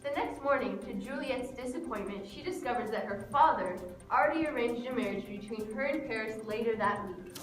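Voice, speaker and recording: A woman's voice: on the high side, a little fast, few pauses. A woman reading scripted narration for an amateur puppet show. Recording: echoey room, distant mic, music behind.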